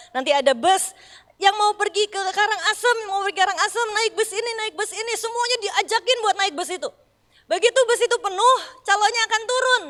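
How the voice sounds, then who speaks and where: A middle-aged woman pastor from Bandung with a Sundanese accent, preaching with lively storytelling and acted-out dialogue. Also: extremely high pitch, big-room sound. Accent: Sundanese-accented Indonesian. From Bandung, West Java. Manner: animated storyteller, acts out dialogue, addresses congregation as "saudara". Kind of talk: sermon with storytelling. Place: church hall.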